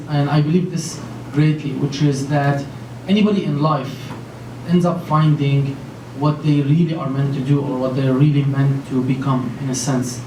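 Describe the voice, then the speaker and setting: A man in his thirties from Lebanon, speaking on a conference panel in an earnest, rambling way full of fillers and restarts. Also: a little high. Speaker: panelist, company founder. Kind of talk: panel talk. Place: large conference room with an audience. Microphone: handheld mic. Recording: echoey room from far off, faint noise.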